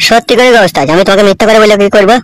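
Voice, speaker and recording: A man's voice: high-pitched, very fast, a bit bright, no echo, studio quality. A man voicing a gangster's anxious, deferential and crude sidekick in a comedy cartoon. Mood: urging. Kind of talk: amateur comedy cartoon dialogue.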